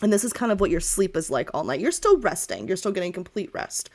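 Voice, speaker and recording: A woman speaks as the game master of a tabletop roleplay session. She narrates scenes and checks in with players in a casual, chatty style, with joking asides and a middling pitch. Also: sing-song, fast, a little mellow.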